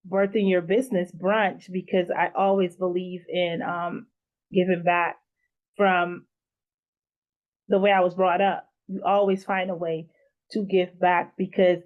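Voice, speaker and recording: A woman from Guyana talks earnestly as a guest on an interview show. She pauses often, filling gaps as she gathers her thoughts. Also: lowish voice, narrow, tinny audio. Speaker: guest, event founder. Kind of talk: casual interview talk.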